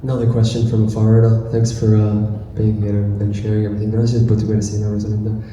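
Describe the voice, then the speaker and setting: A man's voice: on the low side, completely flat delivery, racing, warm tone, dull audio. A man asking an unscripted question from the audience at a live panel. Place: large echoey room at a live panel event. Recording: echoey hall, distant microphone, noisy.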